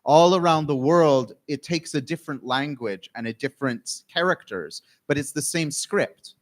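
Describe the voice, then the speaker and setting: Slow, measured Canadian man lecturing. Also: a little high. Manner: measured, pausing for interpretation. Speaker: keynote speaker. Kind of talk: academic keynote lecture.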